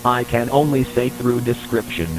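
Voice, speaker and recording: A man's voice: mid-range voice, steady tone, normal speed. A man reading a scripted, formal, matter-of-fact report as the narrator of an analog horror tape. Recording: noisy recording with electrical hum.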